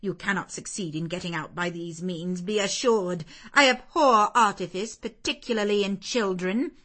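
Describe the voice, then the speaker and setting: A woman's voice: medium pitch, moderate pace, fairly bright tone, lightly acted. A woman reading a storybook, voicing a cold, commanding mistress of the house who issues orders peremptorily. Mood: cold, stern.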